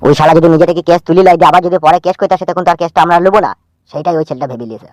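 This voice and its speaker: A man's voice: on the high side, racing, a little mellow, dry recording, studio quality, natural voice. A man playing a chatty, knowing junior policeman in a comic cartoon, gossipy and opinionated.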